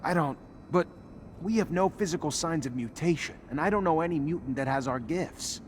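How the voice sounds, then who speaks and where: An actor playing an earnest, respectful young initiate soldier in a video game. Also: male voice, on the high side, fairly quick, a little hiss or hum, natural voice. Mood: disbelieving. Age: young adult. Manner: earnest, deferential.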